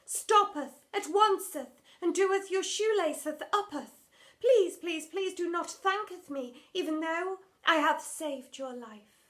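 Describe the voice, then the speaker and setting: A woman's voice: very high voice, takes it slowly, a bit bright, voice pushed up, full character voice. A woman voicing a grandiose, melodramatic female drama teacher from a children's storybook, declaiming theatrically in mock-Shakespearean English. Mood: urgent, grandiose.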